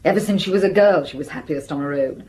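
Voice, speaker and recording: A woman's voice: low voice, fast talker, darkish tone, a little hiss or hum, close to an everyday voice. An actress playing a forthright, worldly woman in a radio drama, blunt, wry and self-possessed. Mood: reflective.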